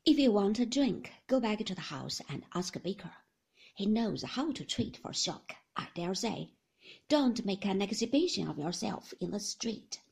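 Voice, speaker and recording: A woman's voice: medium pitch, very animated, a little slow, creaky, a little mellow, slightly rough, full character voice. A woman voicing a stern older male magistrate who speaks curtly and commandingly. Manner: curt, commanding.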